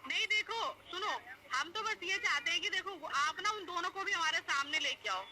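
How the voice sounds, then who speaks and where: A woman's voice: very high-pitched, expressive, normal speed. A woman in an informal phone conversation, pressing and assertive, cutting in and repeating her words. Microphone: telephone. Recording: noisy recorded phone call.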